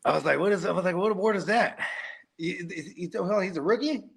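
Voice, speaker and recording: A man with a fairly high, gravelly and husky voice, chatting loosely on a podcast. He rambles with street slang and lots of fillers, laughing often as he talks. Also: fast. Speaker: podcast co-host. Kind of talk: casual podcast chat.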